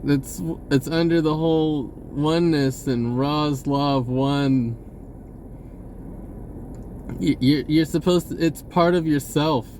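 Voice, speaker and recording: Guy with kinda high voice doing a loose rambly vlog, keeps rewording himself, noisy background. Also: slow, darkish tone. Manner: informal, folksy wording with frequent self-corrections. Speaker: spiritual vlogger. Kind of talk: casual rambling monologue.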